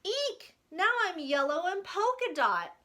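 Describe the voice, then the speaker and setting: A woman reading a picture book as a small, mischievous, excitable creature who bosses the listener around playfully and exclaims a lot. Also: extremely high pitch, a little slow, voice pushed up, heavily acted. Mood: excited, surprised.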